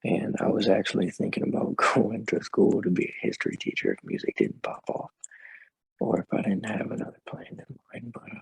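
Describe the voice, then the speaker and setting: A man with a naturally deep voice that sounds a bit muffled and slurred, chatting casually as an interview guest. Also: big pitch swings, brisk, creaky, slightly rough, dry recording. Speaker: rapper guest.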